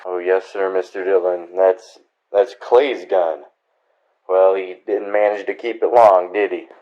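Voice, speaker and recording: A man's voice: deep voice, steady tone, a little slow, darkish tone, muffled recording. A man playing a deferential lawman's helper in a radio western, folksy and hesitant, with an American accent. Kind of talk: old-time radio western acting.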